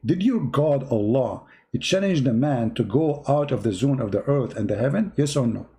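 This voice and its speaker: A man's voice: mid-range voice, expressive, normal speed, warm tone. A man whose first language is Arabic hosts a heated debate, mocking and pressing a caller with repeated questions. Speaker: debate show host. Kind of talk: heated live debate call.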